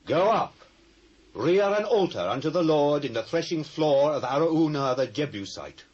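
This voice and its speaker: Bible prophet, british, stern and urgent, highish voice, dull old tape sound. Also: male voice, lively intonation, moderate pace, a little mellow. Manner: urgent, declamatory. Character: stern prophet delivering God's ultimatum. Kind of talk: dramatised scripture reading. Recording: muffled old cassette sound.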